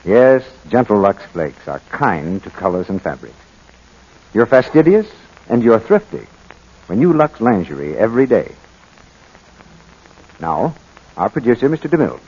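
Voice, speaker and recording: A man's voice: on the low side, sing-song, moderate pace, pauses often, darkish tone, breathy edge. A man working as a commercial announcer, reading a scripted 1940s radio advertisement. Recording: muffled old 1940s radio recording.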